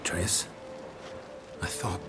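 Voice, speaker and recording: A man playing a stoic, dry-witted monster hunter in a video game cutscene, with terse, restrained, deadpan delivery. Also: very slow, over music. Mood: stunned, grateful.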